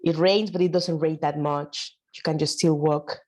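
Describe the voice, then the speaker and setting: A woman's voice: low-pitched, steady tone, moderate pace, a little mellow. Chatty woman from Mexico City. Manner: chatty, many fillers and laughs. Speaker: workshop participant. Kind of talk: casual chat.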